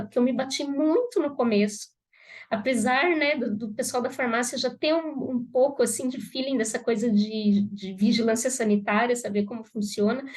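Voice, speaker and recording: A woman's voice: on the high side, a little mellow. A Brazilian woman pharmacist giving a live class to students, talking conversationally with a congested, cold-stuffed voice and frequent "né?" tags. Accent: Brazilian Portuguese. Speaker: pharmacist teaching aesthetics students. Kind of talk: live online class talk.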